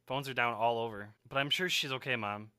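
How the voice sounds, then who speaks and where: A man's voice: medium pitch, brisk, bright, forceful tone, clear, resonant tone, no echo, clean studio sound. A man acting in an audio drama as a wisecracking, stubborn young survivor who talks casually and sarcastically. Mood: earnest.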